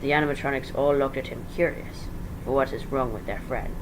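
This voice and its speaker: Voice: medium pitch, fairly quick. An amateur narrator giving a dramatic story reading, informal, stumbling over words and correcting along the way. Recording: faint background noise.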